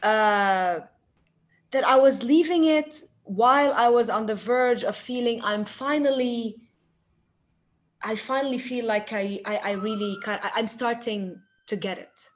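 A woman's voice: fairly high pitch, a little slow. A woman in her forties from Tripoli with a Lebanese accent, speaking as a guest poet in a thoughtful, hesitant way, often restarting and using Arabic fillers. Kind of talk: reflective conversation.

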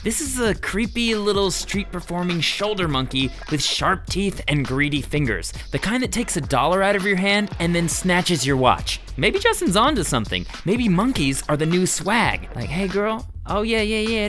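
Comic male host, bright and lively, faint music bed behind. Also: high voice, moderate pace, few pauses. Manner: wisecracking, animated. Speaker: comedy show host. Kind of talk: comic music news chat.

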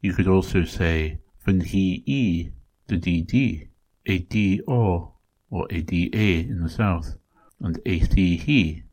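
Man, low voice, husky tone, steady explanatory podcast talk. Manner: measured, explanatory, works through points one by one. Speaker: podcast host. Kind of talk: solo educational podcast talk.

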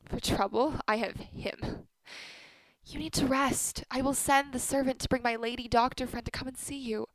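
Actress as sharp-tongued middle-aged wife, bitter, sardonic, highish pitch, close dry sound, radio play. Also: female voice, fairly even intonation, normal speed, no room sound, poor audio. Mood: blunt. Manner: blunt, sardonic.

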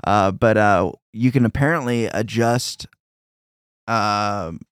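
Easygoing podcast host with a low voice, casual and relaxed, recorded dry. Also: male voice, slow pace, clear, resonant tone, no room sound. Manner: laid-back, laughs easily.

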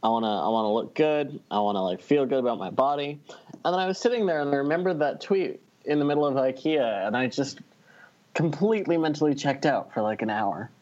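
A man's voice: medium pitch, brisk, a little mellow. A man co-hosting a podcast, talking in a slangy, wry way in casual chat with friends. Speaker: podcast co-host. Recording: slightly dull, lo-fi microphone sound.

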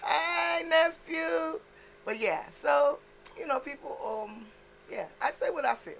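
A woman's voice: high voice, slow, gravelly, a bit bright, a little hiss or hum. A middle-aged woman from Trinidad, a comedian talking bluntly and laughing often in a casual podcast chat. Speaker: comedian guest.

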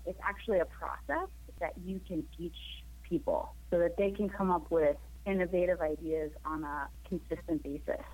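A woman guest, hoarse from a cold, explaining her work in an upbeat way. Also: fairly low pitch, low-quality recording. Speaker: program manager, guest. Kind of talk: phone interview.

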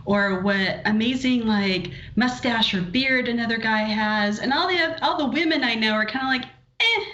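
A woman chats as a podcast co-host, wry and informal with her opinions. Her voice sits slightly high and sounds bright. The sound is roomy and somewhat dull. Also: moderate pace. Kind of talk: casual chat.

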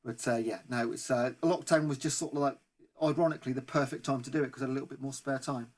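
A man's voice: medium pitch, fairly even intonation, fast. A man co-hosting a football podcast, speaking in a casual, conversational way. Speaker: podcast co-host. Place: remote online recording.

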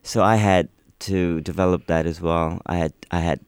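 Deep-voiced man, native Urdu speaker. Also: normal speed, smooth, no echo, clean studio sound. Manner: relaxed, rambling with restarts. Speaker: musician guest. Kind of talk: casual interview chat.

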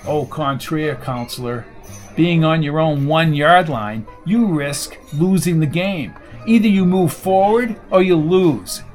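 A confident, needling football coach from an audiobook, read by a man. He probes with sardonic ease in a moderately high male voice. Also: slow pace, a little mellow, soft background music. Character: Jones, cocky, needling male football coach and amateur sleuth.

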